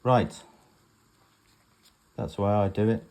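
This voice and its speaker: A man's voice: on the low side, slow pace, a little mellow. A man giving a casual home demonstration in a rambling, matter-of-fact way, like a vlogger in his kitchen.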